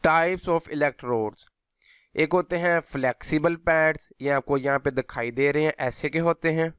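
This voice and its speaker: A man's voice: on the high side, measured pace, fairly bright tone, clear, resonant tone, dry recording, studio quality. A man giving an instructional physiotherapy lecture, explaining in a teacherly way and mixing in English technical terms. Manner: switches between Hindi and English technical terms.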